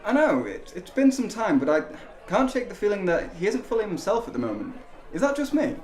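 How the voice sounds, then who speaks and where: Loyal young British mate male actor, casual radio drama banter, slightly high voice, echoing room. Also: very animated, fairly quick, darkish tone. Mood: concerned. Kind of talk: naturalistic radio drama acting. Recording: echoey room.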